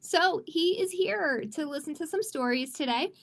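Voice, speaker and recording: A woman's voice: very high voice, lively intonation, moderate pace. A woman hosting a livestreamed children's storytime as a librarian, reading aloud expressively and chatting to young viewers with questions.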